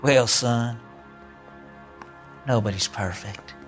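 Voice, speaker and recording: An actor playing a sly, unrepentant drifter and ex-convict in a video game, with a rural American accent and an evasive, folksy, drawling way of talking. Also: male voice, mid-range voice, slow pace, soft background music, natural voice. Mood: defensive. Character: sly unrepentant small ex-convict drifter suspect, farm boy. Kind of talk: video game interrogation acting.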